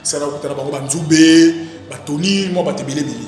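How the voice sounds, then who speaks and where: A man with a Congolese accent, an actor being interviewed, talking casually in an animated, rambling way and repeating his phrases. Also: a little high, fairly quick. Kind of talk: casual interview, recounting career. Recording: very echoey reverberant room, music behind. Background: music.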